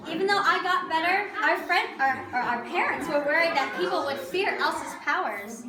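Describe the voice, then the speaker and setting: A woman's voice: extremely high pitch, animated, normal speed. A woman playing an earnest, romantic young princess, narrating her adventure expressively as a story for children. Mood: storytelling, wistful. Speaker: costumed storyteller performer. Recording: distant, echoey room with audience chatter.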